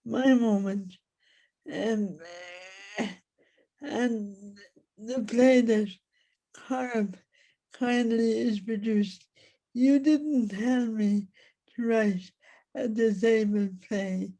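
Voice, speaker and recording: An Irish woman speaks very slowly in a dark, mellow voice. She is reflective as an interview guest, placing her words in short, halting phrases. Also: mid-range voice, fairly even intonation, low-quality recording.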